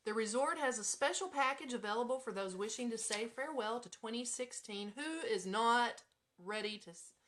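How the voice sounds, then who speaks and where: A woman's voice: a little high, expressive, normal speed, fairly bright tone. Chatty American woman hosting radio. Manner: informal, chatty, breaks into giggles and asides. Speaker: radio show host. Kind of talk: casual live radio chat with read-out items.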